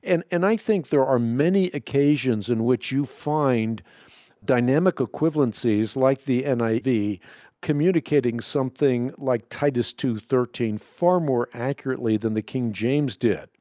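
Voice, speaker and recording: A Dutch-born man hosting a call-in radio show, answering a caller in a warm, mellow voice. He explains with authority and leans on his points with emphasis. The studio sound is close and dry. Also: mid-range voice, normal speed, no echo.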